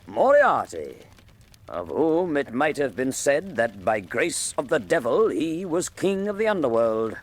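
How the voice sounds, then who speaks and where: Slow, dramatic mystery narrator. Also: male voice, medium pitch, sing-song. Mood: grave, dramatic. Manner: measured, theatrical, deliberate. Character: male narrator recounting a Sherlock Holmes mystery.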